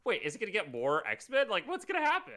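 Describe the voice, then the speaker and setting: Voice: medium pitch, wildly swooping pitch, fast, a bit bright. A podcast host bantering casually and incredulously during a script table read.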